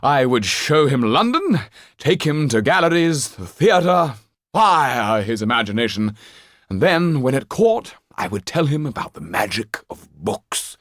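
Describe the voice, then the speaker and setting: A flamboyant, shabby-genteel stage actor, courtly and effusive. He declaims in drawn-out phrases, lifting well above a plain speaking pitch and swooping widely, in a close, dry recording. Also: sing-song, fairly bright tone, no room sound. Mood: passionate, declamatory. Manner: grandiloquent, declamatory, drawn-out delivery.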